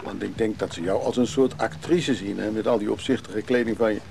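An actor playing a gruff, guarded man who lives on a leaky boat, speaking colloquially in a naturalistic radio drama. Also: fairly low pitch, fairly quick, a little mellow, a little hiss or hum. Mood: guarded, wry.

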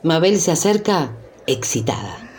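A woman performing as a measured storyteller, narrating a radio drama from a script. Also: sits low, big pitch swings, darkish tone, a little hiss or hum. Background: music.

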